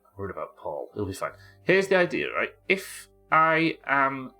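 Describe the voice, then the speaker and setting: A man's voice: mid-range voice, wildly swooping pitch, normal speed, pushed and bright, soft background music. A man with a head cold, sniffly as he casually narrates his live gameplay, thinking aloud and chatting to viewers and his in-game pets. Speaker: livestreaming gamer.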